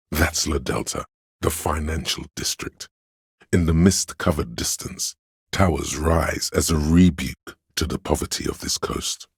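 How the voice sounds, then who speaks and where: A man voicing a pedantic inner voice of encyclopedic knowledge in a game, narrating lore in a measured, learned, didactic way. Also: extremely low pitch, husky, no room sound, clean studio sound, exaggerated voice. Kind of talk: scripted narration, delivering trivia.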